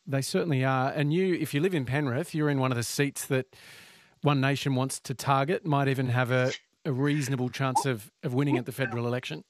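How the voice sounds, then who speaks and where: A man hosting a breakfast radio show, brisk and upbeat. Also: mid-range voice, steady tone, no echo, studio quality. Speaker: radio host.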